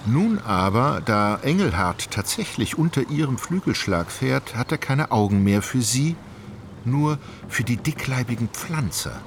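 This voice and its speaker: A man narrating a literary radio play in a measured, descriptive way. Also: medium pitch, expressive, a little hiss or hum. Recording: clearly audible background ambience.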